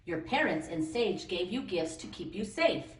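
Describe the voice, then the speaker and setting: A woman's voice: fairly low pitch, animated, normal speed, close to an everyday voice. Kindly giant eagle read by a woman, echoey. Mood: kindly, encouraging. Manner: reassuring, helpful. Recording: roomy echo, distant microphone.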